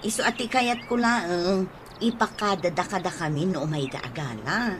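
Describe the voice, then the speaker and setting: A woman's voice: on the low side, normal speed, close to an everyday voice. A woman playing a grumbling village housewife in an Ilocano comedy radio drama. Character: village housewife grumbling about pilfered moringa. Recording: noisy track with birds and animals.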